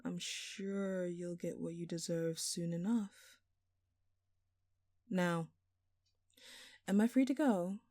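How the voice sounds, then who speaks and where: Woman playing a smug, flirty thief, low mellow voice. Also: slow, pauses often, no echo. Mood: smug, teasing. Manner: teasing, sly, mock-innocent. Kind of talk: audio roleplay acting addressed to the listener.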